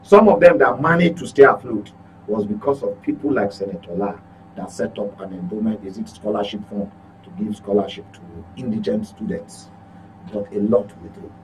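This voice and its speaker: Low-pitched Nigerian man, humming noisy recording. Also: moderate pace. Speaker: journalist, guest commentator. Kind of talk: political commentary on a talk show. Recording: clearly noisy with electrical hum.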